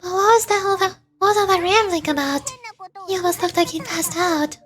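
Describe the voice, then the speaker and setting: A woman's voice: extremely high pitch, steady tone, fairly quick, fairly bright tone. A woman voicing a small, youthful, earnest fairy girl in video game dialogue. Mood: alarmed, puzzled.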